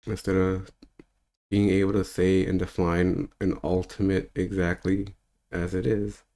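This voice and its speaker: Man, 30s, very low voice, casual spiritual-teacher monologue, meandering and relaxed. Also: unhurried. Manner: loose and rambling, with easy laughs.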